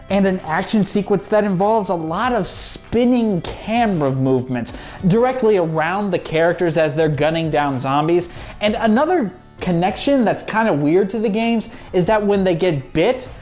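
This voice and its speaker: A man's voice: sits high, darkish tone. A man co-hosting and narrating a scripted video commentary. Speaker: co-host. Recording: music behind the voice.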